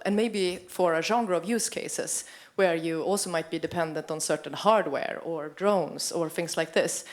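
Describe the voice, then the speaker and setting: Low-voiced woman panelist. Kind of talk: panel discussion.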